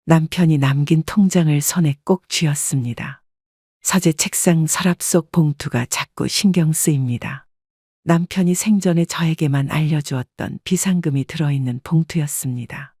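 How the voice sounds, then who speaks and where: A woman narrating a story in the first person, calm and measured. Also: low-pitched, husky, dry recording, studio quality.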